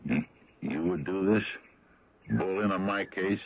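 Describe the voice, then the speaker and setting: An actor playing a gruff, stubborn, skeptical small-town American sheriff in an old radio drama, folksy and grumbling. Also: male voice, sits low, a little slow, background noise. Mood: indignant. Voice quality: gravelly, husky. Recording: dull vintage radio broadcast recording.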